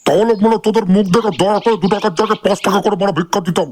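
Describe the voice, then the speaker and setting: A man's voice: high-pitched, steady tone, rapid-fire, close to an everyday voice. A man voicing a combative, foul-mouthed village grandpa in a comic cartoon, blustering and threatening in crude slang. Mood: scornful anger. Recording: clear music behind the voice.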